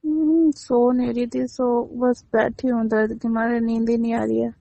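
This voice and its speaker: A woman's voice: fairly high pitch, flat delivery, normal speed, vocal fry, dark tone, dry recording. A woman chatting casually and intimately on a phone call, in a teasing, mildly complaining manner. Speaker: romantic partner on a phone call. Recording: dull lo-fi phone call audio.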